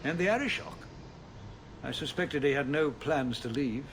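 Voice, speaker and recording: Tired nobleman, video game, mid-pitched, formal, measured, dry irony, faint background ambience. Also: expressive, fairly bright tone, a little hiss or hum. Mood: weary irony. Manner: measured, formal, dryly ironic. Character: weary, wry, civil nobleman ruling a troubled city.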